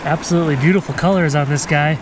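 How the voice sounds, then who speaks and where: A man giving casual, relaxed and appreciative commentary as a fly fishing vlogger outdoors. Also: a little high, quick pace, noisy background. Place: outdoors by a rocky mountain stream. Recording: music over voice. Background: music, running stream water.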